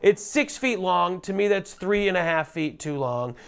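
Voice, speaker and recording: A loud, chatty man giving a casual product tutorial, speaking into a lavalier mic clipped under his shirt. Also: high voice, expressive, normal speed, vocal fry, smooth. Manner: loud, chatty, self-deprecating asides. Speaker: gear reviewer.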